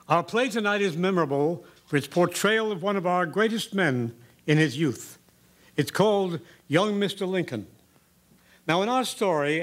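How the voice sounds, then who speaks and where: An actor playing a distinguished, courteous 1940s radio theater host, speaking in a formal, measured, earnest way. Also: male voice, a little high, close to an everyday voice.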